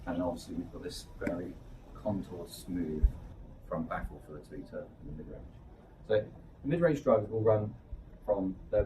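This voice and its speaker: Distant, low-voiced man presenting over loud noise. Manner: explanatory, step by step. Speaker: product presenter for a loudspeaker maker. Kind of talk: informal technical product presentation. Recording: distant mic, noisy room.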